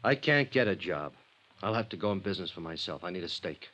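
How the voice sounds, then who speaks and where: Low-voiced bitter ex-con. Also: male voice, lively intonation, fast, pushed and bright, full character voice. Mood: resentful, bargaining. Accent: American. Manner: edgy, tough-talking, slangy. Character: bitter, shady male ex-convict tipster. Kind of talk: 1950s radio drama acting. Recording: old radio recording.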